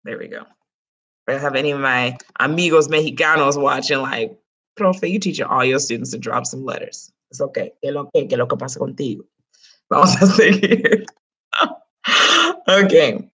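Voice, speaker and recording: A woman's voice: very deep voice, moderate pace, slightly rough. Chatty woman teaching Spanish by webcam. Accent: Dominican Spanish in Spanish words. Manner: lively, chatty, joking asides to students. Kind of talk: online class lesson. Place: small home room. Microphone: webcam close up.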